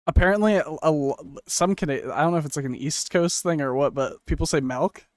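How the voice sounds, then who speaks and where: A man co-hosting a podcast, speaking in a playful, halting casual chat. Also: on the high side, dry recording. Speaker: podcast co-host.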